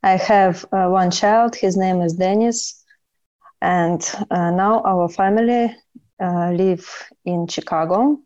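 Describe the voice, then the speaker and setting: Woman, Russian accent, slow, plain simple phrasing, low voice, dull lo-fi audio. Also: darkish tone. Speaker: parent panelist.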